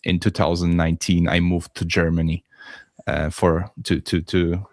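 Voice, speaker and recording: A man's voice: low voice, little pitch movement, moderate pace, darkish tone, no room sound, studio quality. A man in his twenties from Poland, a band member speaking hesitantly in a casual interview and searching for words. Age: 20s.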